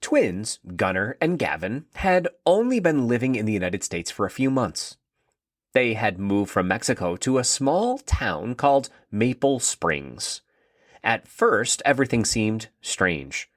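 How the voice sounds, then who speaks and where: A man narrating a scripted story for children in an expressive read-aloud style. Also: lowish voice, a little slow, no echo, clean studio sound.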